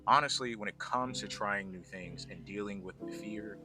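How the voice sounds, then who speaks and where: A man's voice: lowish voice, normal speed. A man talking casually and earnestly about trying new things, reflective in tone. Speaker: vlog host. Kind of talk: casual chat. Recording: very loud guitar music over the voice.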